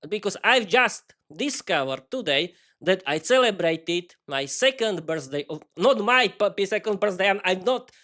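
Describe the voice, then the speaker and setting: Man in his 30s, native Slovak, enthusiastic podcast host, halting speech, repeats words. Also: sits high, animated, bright, forceful tone. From East Slovakia. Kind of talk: improvised solo podcast monologue.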